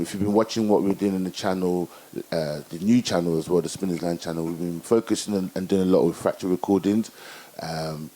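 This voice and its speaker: A man with a very low, mellow voice hosts a casual interview. He asks long, loose questions packed with fillers and keeps circling back before he reaches the point. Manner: rambling questions full of "you know" and "obviously". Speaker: interview host.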